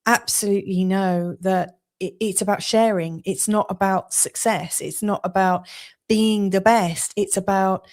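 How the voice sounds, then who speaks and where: A woman's voice: medium pitch, fairly even intonation, measured pace, darkish tone. A woman from England with an English accent, giving an astrology forecast in an earnest, chatty monologue with frequent laughs and fillers.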